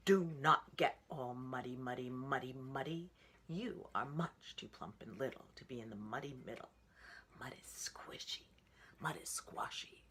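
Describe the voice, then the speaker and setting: Storybook father pig, read by a woman: low, stern, fussy old-fashioned sort, rhythmic admonishing. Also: unhurried, a bit bright, breathy edge, exaggerated voice.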